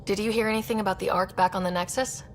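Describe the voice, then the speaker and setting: A woman's voice: mid-range voice, steady tone, fast, a little hiss or hum, close to an everyday voice. An actress playing a young, determined woman leading a space expedition in a video game, casual and encouraging. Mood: friendly, curious. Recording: music behind the voice.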